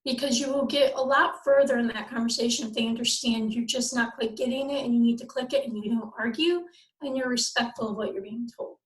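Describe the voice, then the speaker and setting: A woman's voice: a little high, steady tone, fairly quick. Direct woman in an echoey room. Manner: direct, emphatic, instructing in short phrases. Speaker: panelist. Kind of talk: plain-spoken advice in a roundtable. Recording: echoey, roomy sound.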